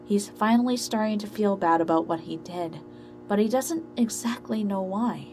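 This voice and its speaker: A woman's voice: mid-range voice, normal speed, a little mellow, soft background music. A woman reading scripted narration for an amateur audio drama story.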